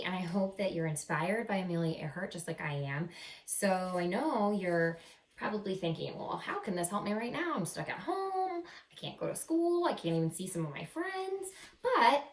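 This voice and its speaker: A woman's voice: on the low side, moderate pace. Woman reading to children in an echoey room. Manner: expressive, encouraging, explains words simply. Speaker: teacher reading a picture book aloud to children. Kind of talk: animated children's read-aloud with simple asides. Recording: roomy echo, distant microphone.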